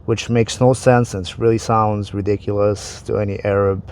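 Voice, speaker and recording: A man's voice: fairly low pitch, level tone, normal speed, darkish tone, slight background noise. Casual man. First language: Arabic. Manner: conversational, repeats words, restarts phrases. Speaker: vlogger explaining religion. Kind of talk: casual explanatory talk to camera.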